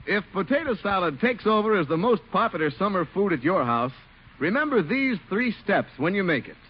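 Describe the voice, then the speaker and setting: A man's voice: sits high, sing-song, a little fast, breathy edge. A man doing polished, emphatic scripted announcing and advertising in 1950s radio style. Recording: muffled old radio broadcast. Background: music.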